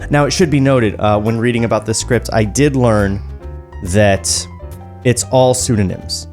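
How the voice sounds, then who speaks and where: A man's voice: mid-range voice, unhurried, a little mellow, soft background music. An American man co-hosting a podcast, chatting casually with some swearing and reading a script aloud.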